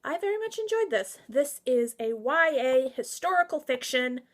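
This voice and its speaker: A woman chatting casually to viewers as a book reviewer, excitable, emphatic and slangy, with theatrical asides and exclamations. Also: high voice, normal speed. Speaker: book reviewer and vlog host. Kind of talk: casual, opinionated book recommendation chat to viewers.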